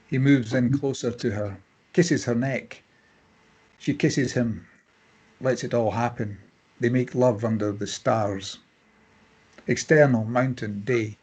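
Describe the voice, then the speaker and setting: A man narrates a screenplay, reading out scene headings and action. His voice sits at an average pitch and has a husky, unpolished grain. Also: steady tone, slow pace, pauses often, a little mellow. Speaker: narrator reading stage directions.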